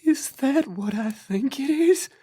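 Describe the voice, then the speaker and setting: A man playing a nervous, slow-witted young bandit, hesitant and husky in an audio drama. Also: extremely high pitch, lively intonation, dark tone, full character voice. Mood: uneasy. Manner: hesitant, stammering.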